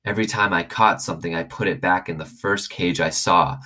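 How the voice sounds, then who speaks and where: Deep-voiced, husky male storyteller. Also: a little fast, bright, forceful tone. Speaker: read-aloud storyteller. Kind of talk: expressive reading of a children's novel.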